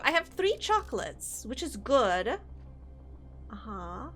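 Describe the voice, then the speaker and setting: Slow-talking woman streamer, slightly sore throat. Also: fairly high pitch, a bit bright. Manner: rambling, thinks aloud, chats with viewers. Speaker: game streamer. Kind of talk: casual live-stream chat while playing. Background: faint game music.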